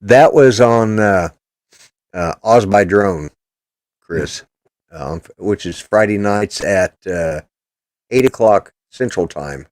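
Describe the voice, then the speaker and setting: A man's voice: lowish voice, lively intonation, slow pace, long pauses, darkish tone, dry recording, studio quality. An older man hosting a casual political chat show, talking in a blunt, rambling, opinionated way. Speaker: podcast host.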